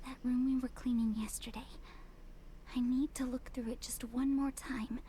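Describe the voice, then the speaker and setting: An actress voicing an anxious, secretive young woman who pleads in a hushed way, in a visual novel. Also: high-pitched, steady tone, normal speed, slight background noise, lightly acted. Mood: pleading.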